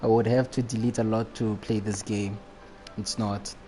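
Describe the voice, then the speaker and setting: A man's voice: lowish voice, little pitch movement, normal speed, warm tone, faint music behind. A man chatting casually and jokily on a game livestream, reacting in slangy, loose phrases. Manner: jokey, slangy, often chuckling. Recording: faint background noise with game sound.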